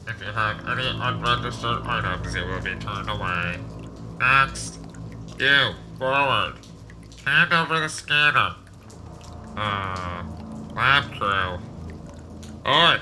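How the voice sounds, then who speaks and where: A man's voice: mid-range voice, noisy background. A man playing an officious, suspicious corporate security guard captain in an audio drama. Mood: commanding, suspicious. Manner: officious, barking orders.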